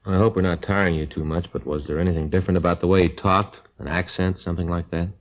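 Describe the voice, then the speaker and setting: A man playing a quiet, polite, considerate police detective in a 1950s radio crime drama, understated and businesslike. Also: sits low, level tone, quick pace, close to an everyday voice. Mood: calm, considerate. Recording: dull old 1950s radio recording.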